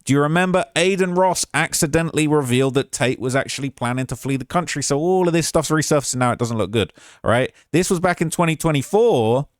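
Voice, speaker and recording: A man's voice: on the high side, fast talker, hardly any pauses, dry recording, studio quality. A man hosting a commentary show, talking casually and mockingly as he reacts to clips. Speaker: commentary channel host.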